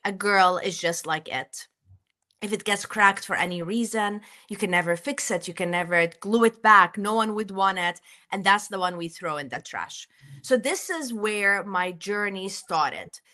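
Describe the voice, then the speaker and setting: A woman from Jordan telling her personal story as an interview guest, speaking in an earnest, deliberate way. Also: medium pitch, sharp tone.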